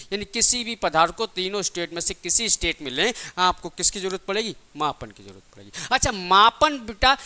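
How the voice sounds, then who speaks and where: A man's voice: high-pitched, bright, forceful tone. A man teaching a class, asking questions and answering them himself, repeating key points in simple everyday examples. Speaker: physics teacher for exam coaching.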